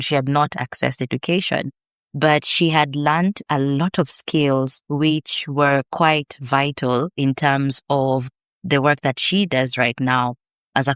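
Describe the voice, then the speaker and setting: Smooth-voiced Kenyan woman, measured. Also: rumbling low, no echo, studio quality. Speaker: podcast guest, researcher. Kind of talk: reflective interview answers.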